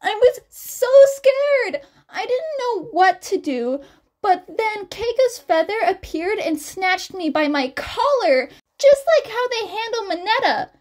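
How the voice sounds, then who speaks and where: A frightened, gushing teenage schoolgirl from an anime-style story , acted out by a woman. Her voice is very high and close to squealing, with drawn-out, excitable delivery. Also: full character voice. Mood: scared, then thrilled. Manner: breathless gushing, almost squealing.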